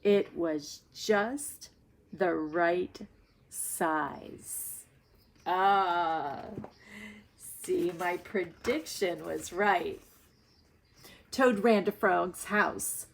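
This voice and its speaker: A woman teacher reading aloud to young children and explaining her thinking in a deliberate way. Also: lowish voice, gravelly. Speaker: primary school reading teacher.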